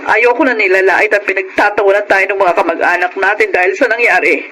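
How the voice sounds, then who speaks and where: A woman's voice: medium pitch, fairly even intonation, fast, a bit bright, natural voice. A woman with a Filipino accent playing an earnest, emotional mother of a struggling family in a radio drama. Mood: weary resolve. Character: worried, earnest mother resolved to rescue her struggling family. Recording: noisy radio drama recording.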